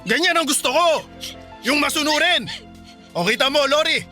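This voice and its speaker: A man's voice: very high-pitched, moderate pace, full character voice. A man acting an angry, domineering middle-aged husband and father in a Filipino radio drama, scolding and threatening. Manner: scolding, shouting. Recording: music behind the voice.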